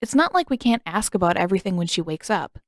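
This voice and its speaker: A woman voicing a gentle, reasonable young teenage boy in a story, mild and a little hesitant. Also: medium pitch, sing-song, quick pace, no echo, clean studio sound, lightly acted. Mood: gently reasoning.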